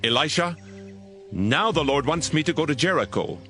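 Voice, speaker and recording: An actor playing a stern, solemn Hebrew prophet in a dramatized audio Bible, speaking with emphatic, declamatory delivery. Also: male voice, a little high, animated, moderate pace, pushed and bright, soft background music. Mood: solemn, commanding.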